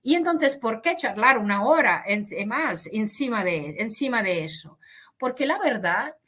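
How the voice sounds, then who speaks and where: Slow, bright-voiced woman lecturing. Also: on the high side, expressive. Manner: hesitant, restarts words, mixes in English and Portuguese. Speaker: veterinary pathologist lecturer. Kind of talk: webinar lecture. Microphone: video call.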